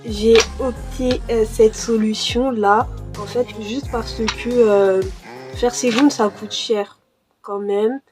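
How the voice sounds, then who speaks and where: A woman's voice: fairly high pitch, steady tone, slow pace, music in the background. A young woman chatting casually in a home vlog, full of hesitations and fillers while she does her nails. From born in Cameroon, raised in France. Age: young adult. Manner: hesitant, many fillers and restarts. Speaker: YouTuber. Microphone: desk mic.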